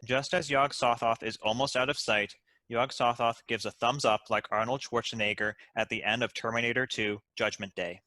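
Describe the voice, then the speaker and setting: A man's voice: medium pitch, steady tone, moderate pace, sharp tone. A man giving scripted narration, reading out the stage directions of a screenplay.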